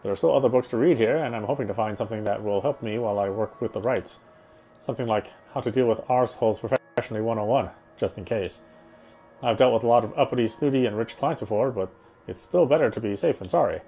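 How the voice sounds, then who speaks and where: A man's voice: lowish voice, fast talker, mellow, faint music behind. A man reading visual novel narration aloud as he plays. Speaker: let's player reading a game aloud.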